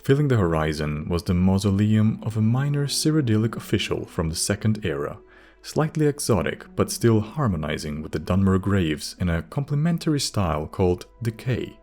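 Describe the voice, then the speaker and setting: Deep-voiced male fantasy audiobook narrator. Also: very animated, normal speed, a little mellow, faint music behind.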